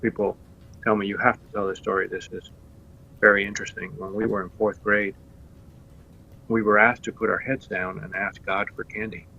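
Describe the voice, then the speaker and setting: A middle-aged man with a Cuban accent speaks in a very low, flat, gravelly voice. The tone is husky yet bright, and he answers in a measured way with many fillers. The sound is dull and lo-fi, as from a remote call. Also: slight background noise. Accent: Cuban Spanish. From Cuba. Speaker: candidate guest. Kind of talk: interview answers. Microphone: video call.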